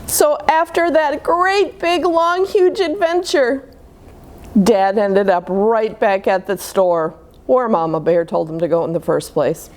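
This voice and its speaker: A woman reading picture books aloud to young children in an expressive, explaining manner. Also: a little high, takes it slowly. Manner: animated, simple explanations to children. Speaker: children's storybook reader at a church.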